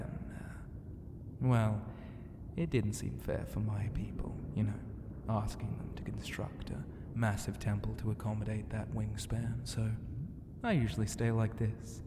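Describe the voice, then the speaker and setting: A man playing a flirty, self-assured ancient ocean god in an ASMR roleplay, teasing and grandiose, with a breathy voice that often drops into a whisper. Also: on the low side, close to an everyday voice. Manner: slow, teasing and grandiose, with hesitant hums and murmurs. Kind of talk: ASMR roleplay, intimate half-whispered delivery. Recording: long reverb, clear background ambient noise.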